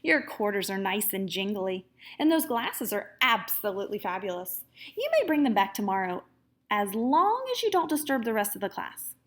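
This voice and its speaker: A woman's voice: medium pitch, sing-song, moderate pace, a bit bright. A woman voicing a kind, encouraging woman schoolteacher from a storybook, speaking expressively. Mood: kindly.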